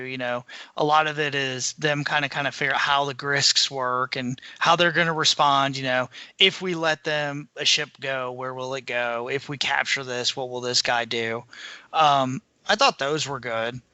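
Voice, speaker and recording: A man's voice: medium pitch, bright, forceful tone, smooth, no room sound. A man co-hosting a podcast, talking through books in a casual conversational way. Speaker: podcast co-host. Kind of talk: casual book discussion chat.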